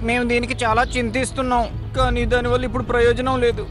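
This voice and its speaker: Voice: mid-range voice, level tone, fast talker, over music, lightly acted. An actor voicing a mischievous young talking parrot in a children's cartoon, with exaggerated delivery. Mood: remorseful.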